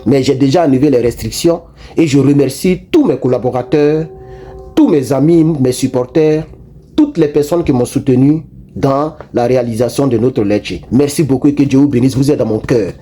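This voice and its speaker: Male online commentator, talks straight to viewers, lively and repetitive, mellow tone. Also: mid-range voice, moderate pace, soft background music. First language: not French. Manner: animated, repetitive, frequent restarts and fillers. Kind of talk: casual political commentary to viewers.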